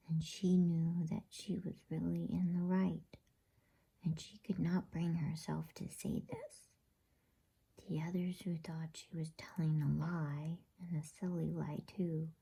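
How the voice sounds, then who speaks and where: A woman reads a bedtime story in a soft unvoiced whisper, hushed and soothing. Her delivery is unhurried and low, and the sound is dark and deeply breathy, with a grainy fry at the edges. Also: low-quality recording.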